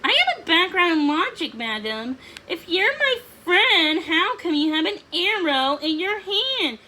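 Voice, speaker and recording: A woman's voice: very high-pitched, sing-song, a little slow, pushed and bright, slight background noise, pitched above the performer's own voice, full character voice, low-quality recording. A woman voicing a pompous, brash male puffin soldier with a formal, boastful, blustering manner for a storybook. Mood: indignant, blustering. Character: pompous, brash military puffin, male, hot-headed but gallant.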